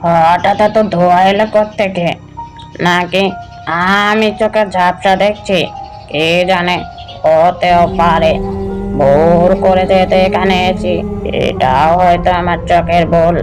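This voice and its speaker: A man's voice: high-pitched, steady tone, unhurried. A man voicing a tipsy, muddled, easily scared fellow who rambles to himself in a horror cartoon. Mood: groggy, grumbling. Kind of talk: Bengali horror cartoon voice acting. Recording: music under the voice.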